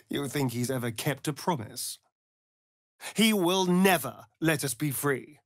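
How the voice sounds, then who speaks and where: An actor playing a sly, vain, sardonic vampire rogue in a video game, with an arch, theatrical drawl. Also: male voice, on the high side, animated, slow pace, no echo, clean studio sound, full character voice. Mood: bitter. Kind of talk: video game character voice lines.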